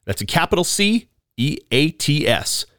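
Raspy podcast host with a head cold. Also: male voice, fairly high pitch, very animated, moderate pace, fairly bright tone, dry recording, clean studio sound. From Southern California. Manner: chatty, loose, frequent ums. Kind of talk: casual podcast intro and ad reads.

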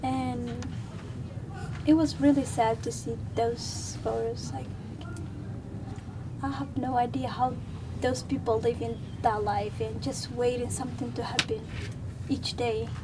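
A woman's voice: a little high, flat delivery, a little slow, pauses often. A sixteen-year-old young woman from Ethiopia, earnestly sharing her thoughts as a student guest. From Ethiopia, Somali parents. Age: teens. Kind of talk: earnest personal reflection. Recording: distant mic, noisy background.

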